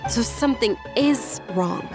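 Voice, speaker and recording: Young female aristocrat, game dialogue, lightly high pitch, gentle, polite, attentive. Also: lively intonation, measured pace. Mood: anxious. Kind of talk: video game voice acting. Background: music.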